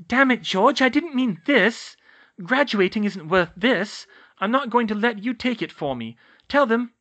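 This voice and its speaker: Man voicing a fierce, high-pitched teenage witch girl. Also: expressive, fairly quick, fairly bright tone. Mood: indignant, pleading. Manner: urgent, emphatic, indignant. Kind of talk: audiobook character voice.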